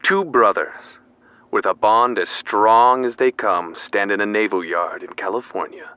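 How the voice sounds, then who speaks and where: A young man playing a grave, ominous narrator of a 1940s radio play, portentous and measured. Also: on the low side, clean tone, no echo, natural voice. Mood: grave storytelling. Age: young adult. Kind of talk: dramatic scripted narration. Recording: dull radio-style audio, faint noise.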